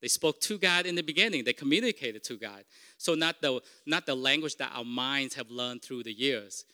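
A man's voice: medium pitch, animated, moderate pace, bright, forceful tone, smooth. A middle-aged man whose first language is Vietnamese, preaching an informal sermon in a conversational, self-correcting way and checking in with the congregation. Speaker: pastor.

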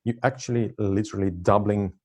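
A man's voice: on the low side, steady tone, brisk, mellow. Hesitant Russian-accented man in his forties. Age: 40s. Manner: hesitant, frequent fillers, laughs often. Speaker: interview guest. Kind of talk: casual conversation.

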